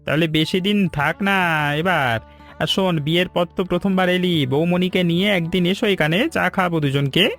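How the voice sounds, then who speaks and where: A man's voice: high voice, normal speed, fairly bright tone, soft background music. A man playing a young village shop owner in a cartoon story, speaking familiarly and hospitably to an old friend. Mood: friendly, welcoming.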